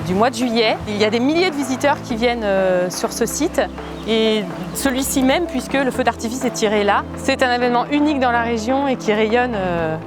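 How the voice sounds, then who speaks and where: A woman, a volunteer and host parent, speaking earnestly in an interview with a few hesitations. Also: fairly high pitch. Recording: music bed under voice.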